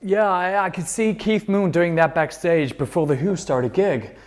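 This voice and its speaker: A man acting a sarcastic, unimpressed young man among rock musicians in a sitcom table read, with sardonic, hesitant pauses. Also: high voice, close to an everyday voice.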